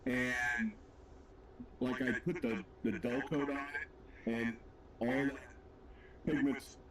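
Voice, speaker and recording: Laid-back man with a deep voice. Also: steady tone, takes it slowly, recorded from a distance, a loud crowd, low-quality recording. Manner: laid-back, rambling, self-deprecating. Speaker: livestream co-host. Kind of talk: casual chat while building a model kit.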